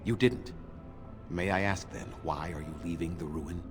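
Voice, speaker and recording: A man acting as a proud, bitter, ancient elf clan leader in a fantasy game, speaking in a measured, formal and condescending way. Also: low-pitched, fairly even intonation, over music, close to an everyday voice. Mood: dry, guarded.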